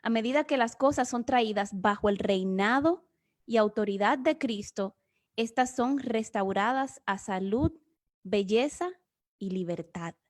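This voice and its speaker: A woman's voice: fairly high pitch, a little slow. A woman from the Dominican Republic with a Dominican accent, giving an earnest, explanatory Bible teaching as guest speaker. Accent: Dominican Spanish. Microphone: remote video session.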